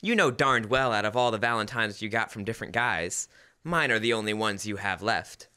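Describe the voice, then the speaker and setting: A young man playing an earnest, fussy husband in an old-time radio comedy, with hesitant, deliberate comic timing. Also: lowish voice, sharp tone, clear, resonant tone. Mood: defensive. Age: teens to young adult. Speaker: student actor. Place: school library, indoors, live stage reading. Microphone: stand microphone.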